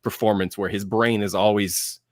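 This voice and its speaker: A man's voice: fairly low pitch, fairly even intonation, normal speed, no echo, studio quality. A man talking casually as a panelist about pop culture, thinking aloud and often repeating his phrases. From lived in Georgia, US.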